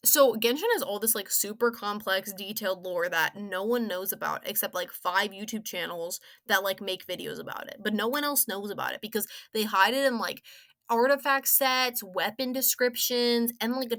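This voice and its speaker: A woman's voice: medium pitch, bright, forceful tone, no room sound. A young woman giving casual, rambling review commentary in a giggly, playful, slangy way. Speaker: video game story reviewer.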